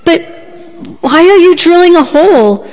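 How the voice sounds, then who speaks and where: A woman's voice: very high voice, lively intonation, a little slow, darkish tone, voice pushed up. A woman storyteller voicing worried boat guests in a hesitant, pleading manner. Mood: frightened, urgent. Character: anxious crowd of boat guests pleading with fisherman. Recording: long hall reverb.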